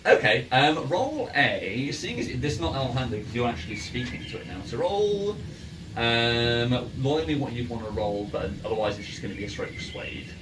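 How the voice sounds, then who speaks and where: A man acting as game master, casually narrating a tabletop game in a halting way with repeated phrases. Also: mid-range voice, very animated, roomy sound. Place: indoor room, in-person game session. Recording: distant mic, clear background noise and ambience.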